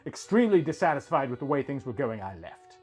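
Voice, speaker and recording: A man's voice: mid-range voice, big pitch swings, fast, darkish tone, slightly rough. A man reading a scripted first-person story as its narrator. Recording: music bed under the voice. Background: music.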